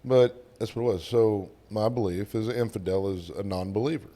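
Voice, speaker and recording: Man, very low voice, Texan Southern accent, slurred drawl, casual podcast guest. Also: brisk, warm tone. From Texas, United States. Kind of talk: casual conversation.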